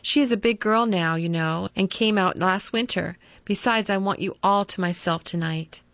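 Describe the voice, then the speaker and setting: Soft loving mother, pitched way up and earnest, dry lo-fi audiobook as a woman reads her. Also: normal speed, dry recording, natural voice. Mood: gentle. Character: tender pleading mother, middle-aged woman. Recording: dull lo-fi dry recording.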